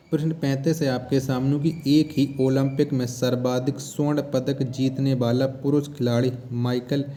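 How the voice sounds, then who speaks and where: A man's voice: mid-range voice, dark tone. A man teaching an exam quiz lesson, posing questions and then repeating the answers.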